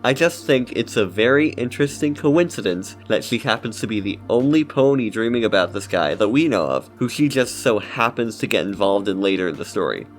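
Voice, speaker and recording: A man's voice: on the low side, expressive, fairly quick, few pauses, soft background music. A man giving casual scripted commentary as a video essayist.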